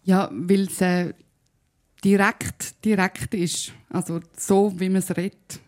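A woman's voice: mid-range voice, flat delivery, slow pace. A young woman with a Zurich Swiss German accent, a spoken-word artist thinking aloud as a guest, restarting and asking rhetorical questions. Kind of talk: casual conversation.

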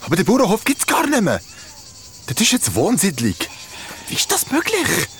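High-voiced, anxious young man. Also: huge pitch swings, moderate pace, fairly bright tone, a little hiss or hum, heavily acted. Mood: bewildered. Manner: agitated, emotional. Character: anxious, guilt-ridden trapped young man, a loyal grandson. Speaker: actor in a radio drama. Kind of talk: thriller radio drama acting.

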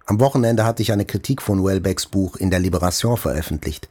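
An actor playing a journalist who soberly recalls his own life in measured literary narration. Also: sits low, warm tone, no echo. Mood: sober, dry. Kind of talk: literary first-person narration in audio drama. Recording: faint music behind the voice.

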